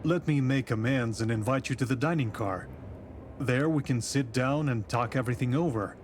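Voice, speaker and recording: Man acting a tsarist-era detective, visual novel, polite, measured, formal, medium pitch, faint train noise behind. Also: lightly acted. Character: composed male police detective from the capital in tsarist Russia.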